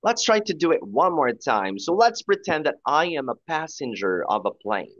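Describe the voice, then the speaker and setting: A man's voice: on the high side, very animated, normal speed, fairly bright tone, no echo, lo-fi sound. A man tutoring English one-on-one, patiently offering example phrases and encouragement. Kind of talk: one-on-one conversation lesson.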